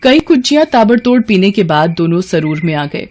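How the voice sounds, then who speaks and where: A man's voice: sits high, very animated, brisk, darkish tone, a little hiss or hum. A man narrating a scripted story as a storyteller.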